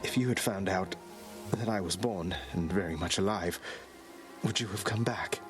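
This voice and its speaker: A man playing a defiant but courteous young prince in a video game, speaking in a measured, wry way. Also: sits low, over music, close to an everyday voice. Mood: hesitant, probing. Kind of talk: dramatic video game acting.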